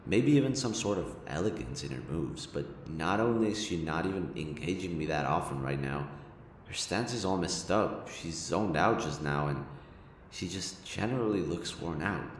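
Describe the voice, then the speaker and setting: Man acting a deep-voiced sardonic supervillain. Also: expressive, moderate pace, slight background noise. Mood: puzzled, observant. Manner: taunting, casual, sweary, theatrical. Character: smug male city supervillain, sardonic yet secretly caring. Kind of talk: audio roleplay addressed to the listener.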